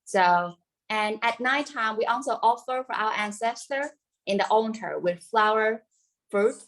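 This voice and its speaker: A woman's voice: fairly high pitch, measured pace, low-quality recording. A woman from central Vietnam, a native Vietnamese speaker with a central Vietnamese accent, teaching a cooking class informally in simple non-native English with dropped words. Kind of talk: informal live cooking instruction.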